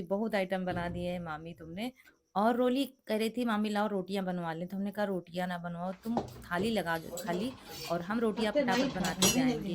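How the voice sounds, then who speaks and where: A middle-aged woman with a hoarse, cracked voice from a sore throat, chatting through a home cooking vlog and explaining each step. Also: mid-range voice, very noisy. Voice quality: cracked, rough voice. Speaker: vlogger cooking for guests. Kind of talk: casual cooking vlog narration.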